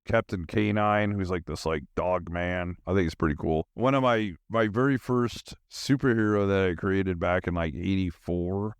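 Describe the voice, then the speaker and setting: A man's voice: low-pitched, normal speed, few pauses, clear, resonant tone, dry recording, clean studio sound. A man hosting a podcast alone, chatting casually in a scattered, self-deprecating, informal way. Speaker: podcast host. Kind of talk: rambling casual solo chat.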